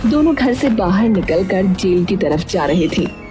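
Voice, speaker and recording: A woman storyteller delivers scripted narration for a cartoon tale. Her pitch is average for a woman. Music is clearly audible behind her. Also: expressive, measured pace.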